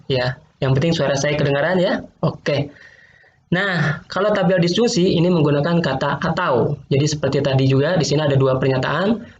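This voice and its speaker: A male teacher, patiently explaining logic in an online class, repeating points and quizzing students. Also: fairly high pitch. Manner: patient, repeats points, asks quiz questions. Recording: roomy, dull lo-fi online class audio with faint noise.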